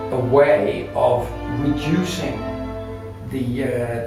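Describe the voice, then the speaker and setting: A man's voice: medium pitch, creaky. A man explaining his design work in an unscripted interview, reflective and hesitant, often rephrasing mid-sentence. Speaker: audio company designer being interviewed. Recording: roomy echo with music behind.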